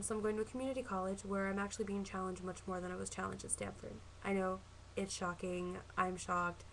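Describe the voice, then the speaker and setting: A woman's voice: mid-range voice, slight background noise, low-quality recording. A woman in her twenties from Southern California with a Southern Californian accent, talking casually to camera about herself, chatty and earnest with frequent ums and self-corrections. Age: 20s. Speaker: vlogger.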